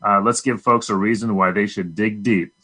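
A man's voice: lowish voice, fairly even intonation, normal speed, bright, forceful tone, breathy edge. A man hosting a live radio pledge drive, urging listeners directly and emphatically. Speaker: radio show host.

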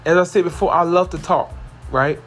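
A man hosting his own podcast, talking earnestly and repetitively about positivity, with a carrying voice that rises into excited emphasis. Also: sits high, brisk, soft background music. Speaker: podcast host. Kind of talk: motivational solo podcast monologue.

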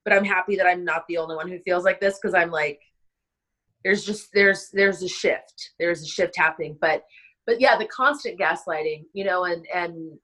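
A woman's voice: lowish voice, normal speed, a bit bright, rough recording. A woman guest in an interview, a wedding planner, talking in an impassioned, rambling way with lots of fillers, sounding stressed and overwhelmed. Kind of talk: emotional conversational interview.

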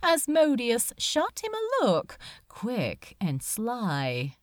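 Female audiobook reader: animated pitch, unhurried delivery, emotive and deliberate, dry recording. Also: no room sound. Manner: emotive, deliberate, dramatic pauses. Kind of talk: dramatic scripted fiction narration.

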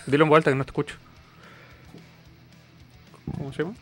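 A man's voice: on the high side, level tone, fairly quick, faint music behind. A man with a Chilean accent in casual banter as co-host, reacting to a video with joking, mock-exasperated slang. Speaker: show co-host.

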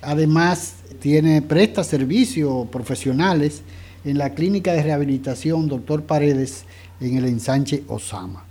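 A male radio host speaks formally and courteously. His voice sits a little on the high side. He introduces his guest in a measured way. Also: warm tone, husky.

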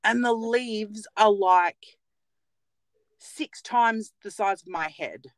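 A woman's voice: mid-range voice, slow pace, dry recording. A woman in her late thirties co-hosting a casual podcast from a small cupboard at home, joking and laughing at herself. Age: 30s. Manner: joking, self-mocking, laughs often. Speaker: podcast co-host, nail technician. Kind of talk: casual chat.

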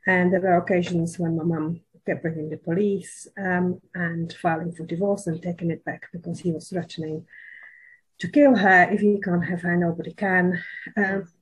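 A woman from the Republic, a guest sharing her childhood story in halting phrases broken by many ums. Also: fairly low pitch, steady tone, breathy edge, low-quality recording. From Czech Republic. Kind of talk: personal testimony in a podcast.

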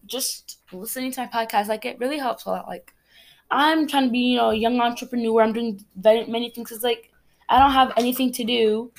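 A teenage girl from Cameroon hosting her own podcast, talking in a chatty, rambling, earnest way. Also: a little high. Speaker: podcast host. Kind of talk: casual solo podcast chat.

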